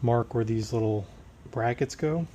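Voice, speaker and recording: A man with a hoarse voice, recovering from tonsillitis, casually talking through a transmission teardown as he works. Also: mid-range voice, unhurried, warm tone, slight background noise. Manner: plain-spoken, working while talking. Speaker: transmission rebuilder. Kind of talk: casual shop walkthrough.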